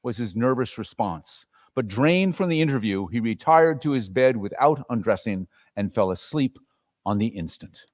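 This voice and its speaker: A man narrating a story as a dramatic reading, in an expressive, theatrical manner. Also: mid-range voice, normal speed. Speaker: narrator and performer.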